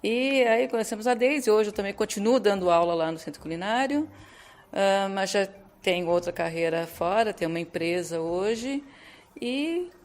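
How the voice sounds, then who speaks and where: A woman's voice: medium pitch, animated, normal speed. A woman who teaches cooking, introducing herself to a group and speaking gratefully about a friend. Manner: grateful, warm-hearted tribute. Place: meeting room with a group.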